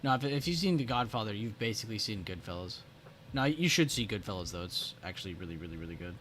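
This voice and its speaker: A man hosting a live trading stream, animated and joking, with plenty of filler words. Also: fairly low pitch, fast talker, slight background noise. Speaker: stream host and trading teacher. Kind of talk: casual live-stream chat.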